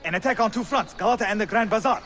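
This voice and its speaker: A man's voice: sits high, rapid-fire, a bit bright, slight background noise. An actor playing an urgent Ottoman assassin messenger in a video game. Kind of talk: video game cutscene acting.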